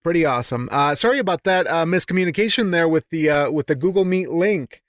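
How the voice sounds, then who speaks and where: A man's voice: on the high side, animated, fast talker, dry recording, clean studio sound. A man hosting a podcast, chatting casually and encouragingly as he interviews a guest.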